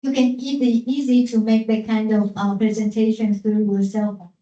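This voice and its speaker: Teacher speaking from far off, echoey. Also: female voice, on the high side, flat delivery, normal speed, warm tone. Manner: hesitant, many "uh" fillers, repeats words. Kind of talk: classroom instruction. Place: classroom. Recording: echoey classroom from far off, dull.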